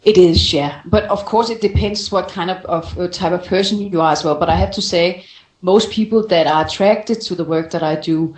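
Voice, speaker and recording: Woman, slightly low voice, halting conversational explanations, voice coach, echoing room sound. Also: a little mellow. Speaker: voice coach answering callers' questions. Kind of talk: conversational explanation on a call-in show.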